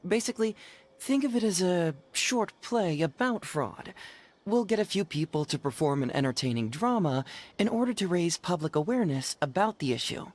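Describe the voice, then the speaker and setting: Light-voiced, breezy young detective. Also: male voice, high voice, lively intonation, normal speed. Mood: earnest, explanatory. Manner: easygoing, articulate, explanatory. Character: breezy, clever, earnest young male detective. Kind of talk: video game dialogue acting.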